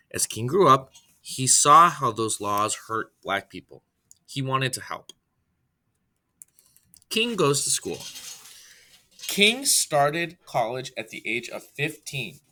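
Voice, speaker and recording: A man reading a children's biography aloud from a script, phrase by phrase, in a deliberate way. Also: mid-range voice, sharp tone. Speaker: reader, storyteller.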